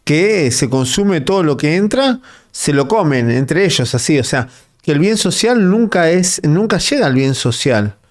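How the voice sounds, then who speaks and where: A man's voice: a little high, very animated, a little slow. A man with a Rioplatense Spanish accent interviewing a guest, blunt and pressing for plain answers. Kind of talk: conversational interview.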